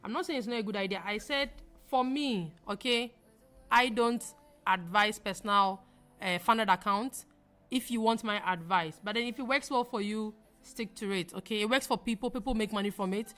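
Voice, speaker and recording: A young adult woman with a Ghanaian accent chats with her live audience, emphatic and quick to repeat herself. Her voice sits at a middle pitch. Faint music plays behind her. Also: fairly bright tone. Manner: chatty, emphatic, repeats phrases, laughs easily. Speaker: livestream trading host. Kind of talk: live-stream chat answering viewers.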